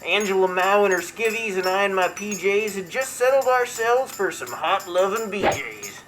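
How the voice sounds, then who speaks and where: Someone doing a brash high-voiced martial arts pal character, reading silly verse all mock dramatic, jingly music behind. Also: lively intonation, moderate pace, a bit bright, natural voice, poor audio. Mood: playful, storytelling. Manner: mock-dramatic storytelling, irreverent. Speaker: comedy show host character. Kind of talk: theatrical comic verse reading.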